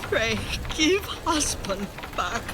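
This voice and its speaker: An actress playing a distraught Chinese wife in a video game, pleading in halting broken English with a Chinese accent. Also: female voice, fairly high pitch, big pitch swings, background noise. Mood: grieving. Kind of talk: video game drama acting.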